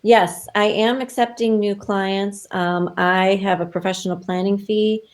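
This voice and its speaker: A woman working as a travel advisor, chatting casually in an interview over a video call, with lots of fillers and quick laughs. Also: mid-range voice, steady tone.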